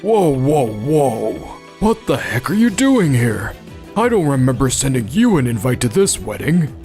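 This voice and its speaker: A man's voice: mid-range voice, very animated, a little slow, darkish tone, soft background music. A man playing a scornful, pompous businessman father in a manga dub, blustering and lecturing. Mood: outraged. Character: scornful, pompous father, supermarket chief executive. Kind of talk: expressive manga dub voice acting.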